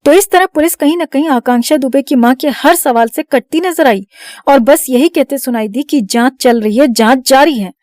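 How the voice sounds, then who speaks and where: A woman's voice: sits high, normal speed, darkish tone, no room sound, studio quality. A woman reading a scripted news voice-over in a dramatic manner, talking straight to the viewers as friends. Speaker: news narrator.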